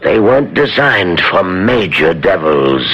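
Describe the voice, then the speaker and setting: Stern male sorcerer-mentor: slow, swooping, theatrical delivery, muffled vintage radio sound. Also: low-pitched, big pitch swings, full character voice. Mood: grave, dramatic. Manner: portentous, formal, theatrical. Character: Anton, male occult master, stern and reproachful. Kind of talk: 1970s radio drama acting.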